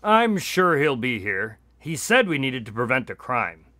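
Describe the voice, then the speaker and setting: Bright-voiced, long-suffering detective's sidekick. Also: male voice, medium pitch, very animated, unhurried, no echo. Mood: weary, puzzled. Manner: conversational, dry, long-suffering. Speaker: actor in a radio drama. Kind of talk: modern radio drama acting.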